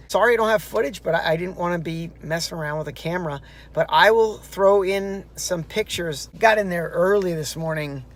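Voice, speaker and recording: A man's voice: a little high, fairly bright tone. A man casually recapping a marathon for his vlog, chatty and rambling, with fillers and restarts. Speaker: runner vlogging a marathon. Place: outdoors and in a car.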